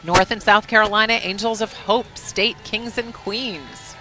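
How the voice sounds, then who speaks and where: A co-commentator at an outdoor parade, reading sponsor blurbs in a friendly, steady way. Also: medium pitch, measured pace, music in the background, rough recording.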